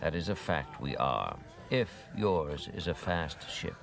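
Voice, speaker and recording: Courteous elderly mentor, radio drama; low register, measured and dryly dignified. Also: male voice, expressive, vocal fry, exaggerated voice. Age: older. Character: wise, courteous, grave old male mentor. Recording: music behind the voice.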